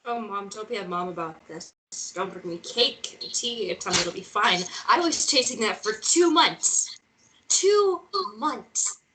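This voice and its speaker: A woman's voice: mid-range voice, animated, a little slow, pushed and bright, slight background noise, rough recording. A woman acting a driven, frustrated young journalist in a screenplay table read, with an exasperated, intense delivery.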